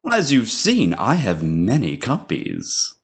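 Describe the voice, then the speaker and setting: A man's voice: on the low side, wildly swooping pitch, slow, heavily acted. An actor playing a sinister, playful immortal toymaker in an audio drama, theatrical and taunting in his mock courtesy. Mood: teasing.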